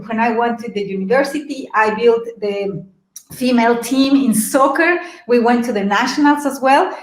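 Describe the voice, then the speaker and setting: A middle-aged woman with a Mexican Spanish accent tells her story in a motivational webinar, lively and animated, turning to her listeners with questions. Her voice sits fairly high. It comes over a video call from an echoey room. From Mexico City. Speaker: webinar presenter.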